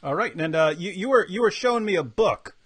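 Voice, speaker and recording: A man's voice: high voice, lively intonation, a little fast, fairly bright tone, slightly rough, dry recording. A man in his forties hosting a live video-call interview from a room with bookshelves, talking energetically with many fillers and restarts. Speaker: host. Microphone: webcam video call.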